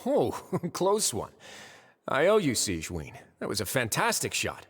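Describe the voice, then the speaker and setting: An actor voicing a suave, unflappable, drily teasing duke in a video game. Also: male voice, mid-range voice, huge pitch swings, measured pace, long reverb tail, a little hiss or hum. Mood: wry. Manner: dry, wry, composed.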